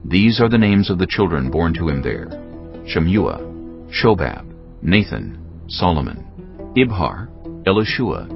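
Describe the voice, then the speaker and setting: A man narrating scripture in a solemn, measured storytelling style, with a gravelly voice. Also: low-pitched. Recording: dull, lo-fi audio. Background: faint music.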